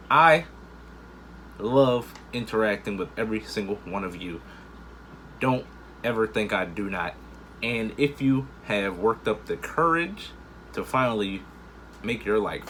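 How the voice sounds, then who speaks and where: A man's voice: on the low side, a bit bright, slight background noise. Upbeat man hosting a reaction video. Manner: upbeat, slangy, talks straight to viewers. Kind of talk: casual direct-to-camera chat.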